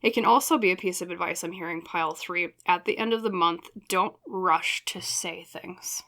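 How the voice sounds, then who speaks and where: A woman with a fairly low voice speaks as a tarot reader. Her delivery is casual and conversational, wandering through reflective thoughts as she addresses her viewers directly. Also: bright, forceful tone. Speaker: tarot reader and channel host.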